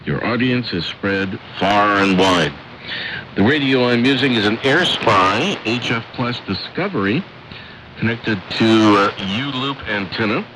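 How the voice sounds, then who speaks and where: An American man hosting a shortwave radio programme, reading listener letters and announcements with clear enunciation. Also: fairly low pitch, lively intonation, measured pace, sharp tone, a little hiss or hum, low-quality recording. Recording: shortwave radio broadcast with music.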